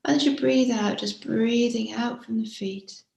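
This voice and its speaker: A woman guiding a body scan meditation as a teacher, speaking gently and softly, unhurried, with frequent pauses and breathy phrase endings. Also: on the high side, steady tone. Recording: roomy, echoing room sound.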